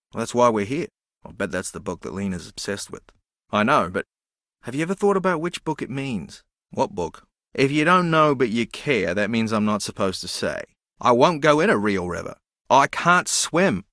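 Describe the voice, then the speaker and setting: A man's voice: fairly low pitch, animated, normal speed, a bit bright, dry recording, clean studio sound. An actor playing a dreamy, easily confused young man in a video game, earnest, naive and meandering as he muses.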